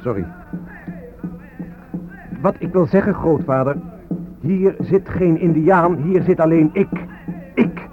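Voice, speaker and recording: Defiant young student, phone-like radio sound. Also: male voice, fairly high pitch, takes it slowly, long pauses. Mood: insistent. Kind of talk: Dutch radio drama acting, declaimed monologue and dialogue. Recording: phone-like old radio play, music behind.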